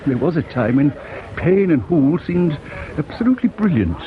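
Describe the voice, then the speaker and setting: A man's voice: a little high, very animated, moderate pace, noisy background. An actor playing a chatty, gossipy English provincial newspaperman in an old-time radio drama, talking in a confiding, jocular, colloquial way. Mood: wry, confiding. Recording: dull old radio recording, busy background chatter.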